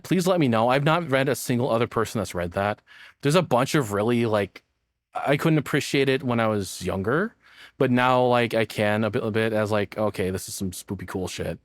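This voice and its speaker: A man's voice: medium pitch, dry recording. A man giving casual, rambling reaction commentary as a streamer, swearing freely, on a close mic. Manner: profane, rambling, expressive.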